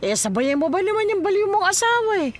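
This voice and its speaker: A woman's voice: very high voice, big pitch swings, fast, a little hiss or hum. An actress playing a wry, practical older mother in a Filipino audio drama, teasing and nagging as she gives advice.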